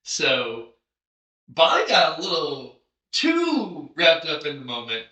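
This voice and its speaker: A man hosting a podcast and interviewing a guest, telling stories in an animated way and jumping up in pitch as he acts out moments. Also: takes it slowly, distant mic. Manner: animated storyteller, jumps up in pitch when acting out moments. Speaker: podcast host and film director. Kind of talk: casual interview chat. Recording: echoey room, a bit off mic.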